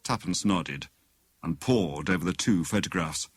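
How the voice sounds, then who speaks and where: A man's voice: sits low, lively intonation, unhurried, breathy edge, no echo. A man reading a mystery story as a scripted audiobook narration.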